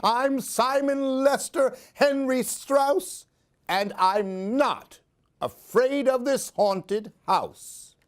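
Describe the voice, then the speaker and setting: A man reading a children's story aloud in an expressive, theatrical, singsong way. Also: very high voice, drawn-out words. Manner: theatrical, singsong rhyming delivery.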